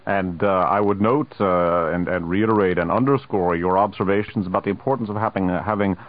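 A low-voiced man hosts a political radio interview, working through his points haltingly with frequent uhs and the odd wry aside. His voice comes through a thin, tinny broadcast line. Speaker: radio host.

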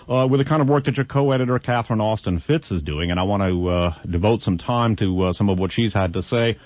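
Hoarse man hosting a radio interview. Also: on the low side, dry recording. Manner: earnest, halting with many fillers. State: hoarse from laryngitis. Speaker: radio host. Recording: muffled lo-fi radio broadcast.

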